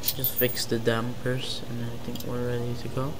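A man's voice: medium pitch, monotone, moderate pace, very noisy. A man with a Filipino accent giving laid-back, casual gameplay commentary, swearing now and then and talking to himself. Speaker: gaming channel host. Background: game music and car engine.

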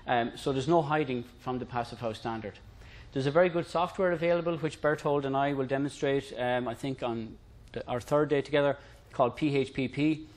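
A middle-aged man with an Irish accent presenting a technical concept to a room in a conversational, lightly humorous way. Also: mid-range voice. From Ireland. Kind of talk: informal lecture to a room.

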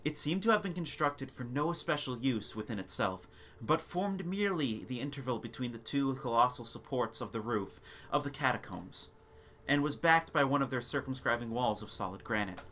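A man reading a gothic tale as a theatrical, deliberate dramatic narration. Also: mid-range voice, expressive, pushed and bright. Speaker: narrator of a gothic short story. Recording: noisy ambience sound bed. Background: background ambience and sound effects.

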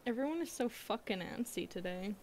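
A woman's voice: on the high side, lively intonation, brisk, darkish tone, slight background noise, natural voice. A woman playing a brash, friendly young goth college girl with a casual, teasing manner in an ASMR roleplay. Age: young adult. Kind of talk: ASMR roleplay audio drama.

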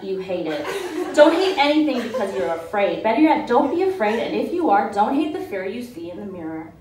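A woman's voice: mid-range voice, brisk, a crowd in the background. A young woman giving a theatrical, comedic live reading of a short story, acting out the dialogue. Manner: theatrical, expressive delivery with acted dialogue. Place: stage in a small event room with audience. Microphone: mic on stand, picked up by distant camera. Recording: roomy, distant, noisy live-event recording.

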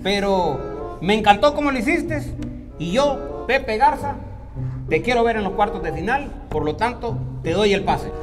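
Bossy high-voiced man judging. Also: lively intonation, measured pace, music in the background. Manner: bossy, exasperated. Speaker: judge in sketch. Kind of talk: comedy sketch.

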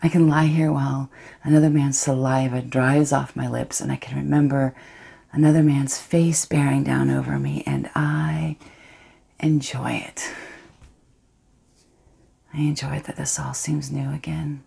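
A woman's voice: rumbling low, level tone, moderate pace, gravelly, warm tone, breathy edge, full character voice. A woman playing a defiant, unrepentant young woman. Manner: intimate, dropping to whispers. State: has a cold. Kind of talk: audition monologue.

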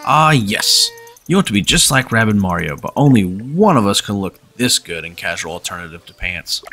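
A man's voice: on the low side, very animated, normal speed, bright, forceful tone. A man in his thirties with a stuffed-up nose from a cold, hosting a casual let's-play and chatting as he plays, sometimes reading the game's dialogue aloud in his own voice. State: congested from a cold. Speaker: gaming channel host. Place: home room, webcam in corner. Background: faint game music.

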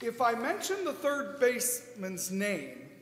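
A middle-aged man reading a classic comedy routine on stage, exasperated, animated and a little halting. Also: extremely high pitch. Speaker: amateur comedy performer. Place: small indoor stage in a hall. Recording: echoey, noisy hall from a distance.

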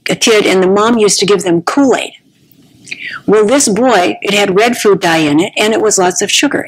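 A woman's voice: mid-range voice, husky, rough recording. A woman in her sixties giving a conference talk at a podium, chatty and anecdotal, often restarting her sentences, on a small clip-on mic. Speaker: conference speaker. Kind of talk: conversational lecture. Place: conference room with curtained stage. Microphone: small clip-on mic at the neckline.

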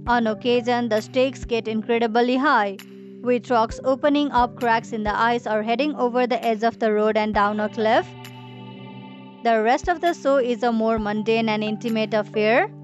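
A woman narrating a scripted voice-over in an even, matter-of-fact reading. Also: fairly high pitch, moderate pace. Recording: dull lo-fi voice-over with faint music.

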